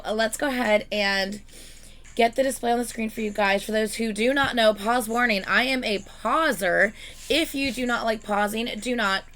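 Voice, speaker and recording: A woman chatting excitedly and casually as host of a reaction video, her voice slightly hoarse and congested, swearing freely. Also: mid-range voice. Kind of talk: casual reaction vlog chat.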